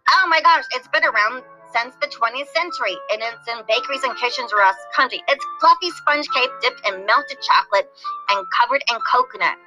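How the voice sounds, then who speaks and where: A woman voicing an excitable moose in a children's story, gushing and exclaiming. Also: fairly high pitch, fairly quick, muffled recording, over music, natural voice. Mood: excited. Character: excitable moose spotting treats and clues.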